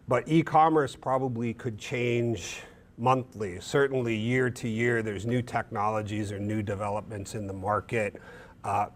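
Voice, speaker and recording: A man moderating a seminar and introducing the speaker, talking in a relaxed way with "uh" and "um" fillers. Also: on the low side, a little hiss or hum.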